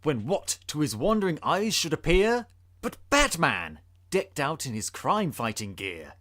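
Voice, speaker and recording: Male narrator for rhyming tale: theatrical, suspenseful, forceful, lively range. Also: on the high side, unhurried. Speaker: podcast host. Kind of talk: dramatic storybook reading of rhyming verse.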